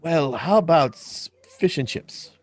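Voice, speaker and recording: A man's voice: a little high, lively intonation, a little slow. A man playing a curious, naive young man in a radio drama reading, hesitant and full of questions. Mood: amazed. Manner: wide-eyed, hesitant, questioning.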